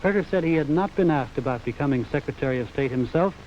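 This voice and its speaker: A man's voice: a little high, lively intonation, fast. A man with an American accent delivering a scripted news report as a correspondent, formal and declarative. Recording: dull 1950s broadcast, faint noise.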